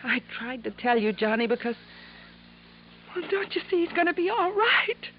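A woman's voice: high-pitched, big pitch swings, normal speed. An actress playing an earnest, devoted young American niece in a 1950s radio drama, pleading emotionally for her uncle. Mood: tearful, urgent. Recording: dull, noisy 1950s radio recording.

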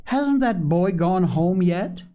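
A man's voice: high voice, expressive, takes it slowly, warm tone, pitched above the performer's own voice. A man voicing a hungry, talkative young boy who is by turns indignant, boastful and whiny in a storybook reading. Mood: chattering.

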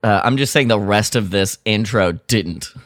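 A man's voice: lowish voice, normal speed, dry recording, studio quality. A man co-hosting a podcast, chatting casually and jokingly as he recaps and critiques a story with some exasperation. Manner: exasperated, jokey, mimics characters' lines. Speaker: podcast co-host. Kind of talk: casual chat, recapping and critiquing a radio drama.

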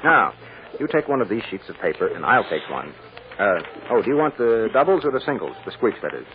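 A teasing american radio lawyer, kinda low voice, super animated, muffled noisy old recording. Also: male voice, brisk. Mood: bargaining. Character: confident, playfully teasing defense lawyer with dry humor. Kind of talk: 1940s-50s American radio drama acting.

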